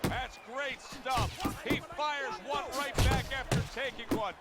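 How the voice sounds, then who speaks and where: A man playing an excitable boxing commentator in a video game, calling the action in emphatic, punchy bursts. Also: extremely high pitch, measured pace. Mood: excited. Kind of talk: sports commentary. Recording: game music over commentary.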